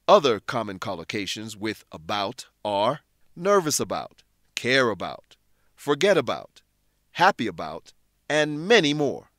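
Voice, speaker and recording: Animated male English-lesson narrator. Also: on the low side, no echo, studio quality. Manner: clear, deliberate explaining. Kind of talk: scripted educational narration.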